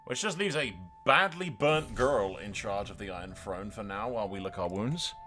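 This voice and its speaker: A male gaming host with a bright, forceful voice and very lively pitch. He gives sweary, excitable play-by-play, jumping from dramatic outbursts to dry understatement, with music playing behind him. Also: moderate pace. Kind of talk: excitable let's-play commentary.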